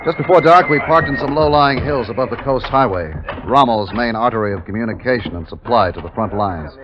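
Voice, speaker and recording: An actor playing a measured, authoritative army captain who narrates his wartime mission in a 1950s radio drama. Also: mid-range voice, background noise, natural voice. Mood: grim, measured. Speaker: narrator and commanding officer. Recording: dull 1950s radio recording with music.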